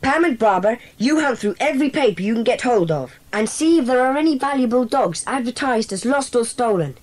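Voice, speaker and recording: A woman playing a brisk, decisive schoolboy who leads a secret club in a dramatised children's audiobook. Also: on the high side, animated. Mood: brisk, commanding. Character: bossy, sensible schoolboy leader of a secret club.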